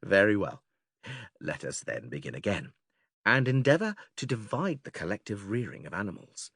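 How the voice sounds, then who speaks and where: A patient, didactic philosopher leads a formal dialogue step by step. His pitch swings very widely as he questions and instructs. The voice is noticeably husky and recorded close and dry. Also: sing-song, normal speed, a bit bright, no echo, heavily acted. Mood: patient, questioning. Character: male philosopher stranger, patient, methodical, leading an argument. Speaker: audiobook actor reading philosophical dialogue. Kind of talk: dramatised classical dialogue reading.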